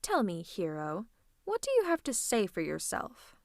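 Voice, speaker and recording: A woman's voice: medium pitch, wildly swooping pitch, unhurried, vocal fry, a little mellow, no echo, clean studio sound, exaggerated voice. A woman playing a cocky, flirtatious villain who teases and mocks in a theatrical roleplay audio. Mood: mocking, playful. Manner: teasing, mocking, theatrical. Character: cocky, flirtatious female villain taunting a hero. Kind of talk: scripted villain roleplay ASMR.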